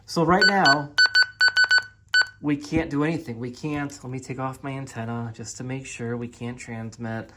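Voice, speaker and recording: A man's voice: mid-range voice, steady tone, moderate pace, sharp tone. An American man hosts a casual gear review and walkthrough, chatting informally to viewers as he explains things for beginners. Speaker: host of a product review video.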